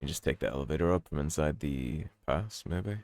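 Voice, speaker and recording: Very deep-voiced, slightly croaky gamer. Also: male voice, a little fast, no echo. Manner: relaxed, wry, self-mocking. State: slightly croaky from a sore throat. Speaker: let's play host. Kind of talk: casual gameplay commentary.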